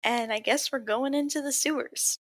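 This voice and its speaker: A woman's voice: sits high, moderate pace, dry recording. Woman player working out directions. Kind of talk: tabletop game table talk.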